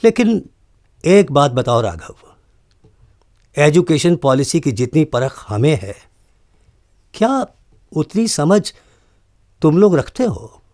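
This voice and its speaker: An actor playing an earnest, reproachful college principal in a radio drama, questioning emphatically with deliberate pauses. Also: male voice, fairly high pitch, big pitch swings, a little mellow.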